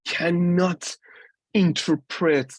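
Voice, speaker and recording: A man in his forties delivers a university lecture at an unhurried, careful pace. He often stops mid-phrase and starts again, filling the gaps with hesitations. The sound is dry and close, with no room echo. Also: high voice, steady tone. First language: Persian. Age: 40s. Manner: halting, with many restarts and fillers. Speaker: guest lecturer.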